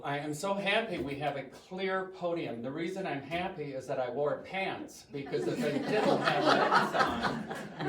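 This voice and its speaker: A man's voice: a little high, far from the mic, a little hiss or hum. Big-voiced older man in an echoey hall. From US Midwest. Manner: chatty and self-joking, with animated quoting. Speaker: guest speaker. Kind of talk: storytelling talk to a congregation. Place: church hall.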